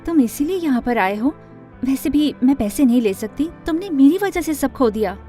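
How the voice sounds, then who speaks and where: A woman voicing a young woman who earnestly narrates her own life in an animated story, with expressive, dramatic delivery. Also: sits high, normal speed, faint music behind. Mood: incredulous.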